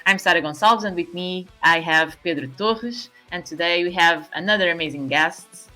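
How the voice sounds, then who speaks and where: A woman hosting an interview podcast with a Portuguese accent. Her voice is a touch low yet bright and forward, in an introducing, presenting style, with music faintly behind her. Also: normal speed, poor audio. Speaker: podcast host.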